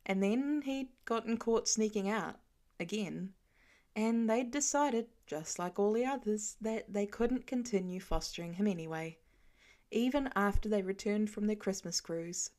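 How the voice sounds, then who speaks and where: A woman narrating a fan fiction story aloud in a gentle storytelling manner, with light character voices. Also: mid-range voice, measured pace. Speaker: podfic reader.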